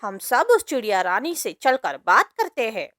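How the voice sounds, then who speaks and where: A woman's voice: a little high, sing-song, moderate pace, a bit bright, no echo, studio quality. A woman voicing a callous, meddling female crow in a cartoon story, with a pushy, insinuating way of talking. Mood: alarmed. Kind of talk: Hindi cartoon story voice acting.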